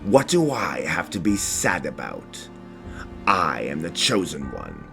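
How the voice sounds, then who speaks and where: A man's voice: very deep voice, sing-song, vocal fry, heavily acted. A man voicing a cold, arrogant, grandiose villain who believes he is chosen, in a dramatic video game style. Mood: cold contempt. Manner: slow, grandiose, contemptuous. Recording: music under the voice.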